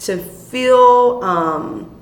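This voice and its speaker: A young woman from Chicago, an actress, talking animatedly and fast in an interview, often laughing as she tells stories. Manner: animated, quick, laughing storyteller. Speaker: stage actress. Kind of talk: interview conversation. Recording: echoey room with faint rumble.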